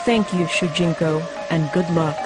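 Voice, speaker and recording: An actress playing an earnest, loyal resistance ally in a video game, speaking gravely and formally. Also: female voice, on the low side, steady tone, moderate pace, loud music behind the voice, lightly acted. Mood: urgent, grave. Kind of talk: video game cutscene acting.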